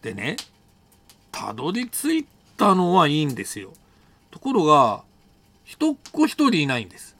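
Animated male storyteller with very lively pitch. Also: normal speed, long pauses. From Japan. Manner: animated, colloquial, acts out dialogue. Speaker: solo audio storyteller, host. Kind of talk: casual personal travel storytelling.

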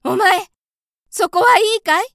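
A woman doing a mother field mouse, very high and loud, anxious, pleading, humbly polite, dry close sound, storybook reading. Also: expressive, moderate pace, dry recording.

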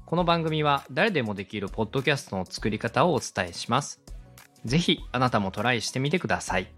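Lively male podcast host explaining step by step. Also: mid-range voice, fast talker, faint music behind. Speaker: host, radio director teaching podcast production. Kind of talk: instructional podcast talk with a co-host.

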